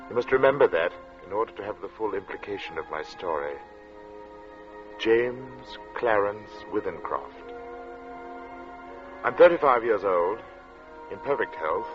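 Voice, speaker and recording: An actor playing a haunted, methodical artist in his thirties, giving a deliberate 1940s radio monologue. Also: fairly low pitch, lightly acted. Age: 30s. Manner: halting, deliberate, precise. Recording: muffled 1940s radio with music.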